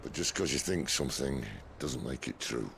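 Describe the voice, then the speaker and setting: An actor playing a roguish, world-weary older outlaw leader in a video game, gravelly and wry, with a Northern English accent. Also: male voice, extremely low pitch, animated, normal speed, a bit bright, a little hiss or hum, exaggerated voice. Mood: rueful. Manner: colloquial, wry. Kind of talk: dramatic video game voice acting.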